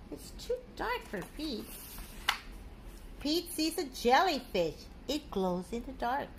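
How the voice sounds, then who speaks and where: A middle-aged woman reads a children's picture book aloud like a teacher, in a high, very animated voice. She takes it slowly and speaks simply to young listeners. It is a home phone recording. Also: a little hiss or hum. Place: living room at home, indoors.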